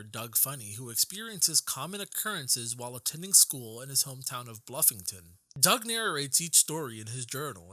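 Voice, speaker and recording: A man's voice: mid-range voice, bright, forceful tone, dry recording. A man reading scripted narration for a documentary-style video essay as its narrator.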